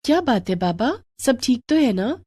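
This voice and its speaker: A woman playing a gentle, responsible daughter. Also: a little high, expressive, normal speed, husky, no echo, clean studio sound, voice pushed up. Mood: concerned, reassuring. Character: caring, responsible young daughter looking after her family.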